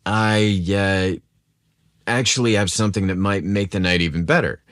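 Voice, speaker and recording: A rugged yet slightly shy construction manager , played by a male narrator in a romantic audio story. His voice is low and soft, hesitating as he flirts. The recording is dry and close. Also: fairly even intonation, moderate pace, clean tone, no room sound. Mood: shy, hopeful.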